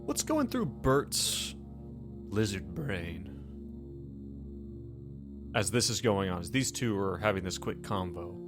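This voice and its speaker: A man's voice: deep voice, very animated, takes it slowly, long pauses, over music. A man speaking casually as game master, narrating scenes and explaining his rulings. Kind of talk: running a tabletop roleplaying game in casual talk.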